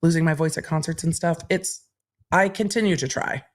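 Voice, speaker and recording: Woman streamer, casual and candid, explains legal stuff in a relaxed way. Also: low voice, fairly quick. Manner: chatty and candid, answering questions in an even, explaining way. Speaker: legal commentary livestream host. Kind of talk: casual livestream chat reading viewer questions.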